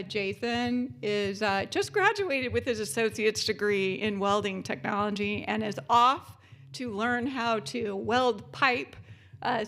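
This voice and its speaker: A woman's voice: fairly high pitch, vocal fry, a bit bright. A woman, giving a conference keynote in a chatty way with many fillers. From born in Japan, military family. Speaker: keynote speaker.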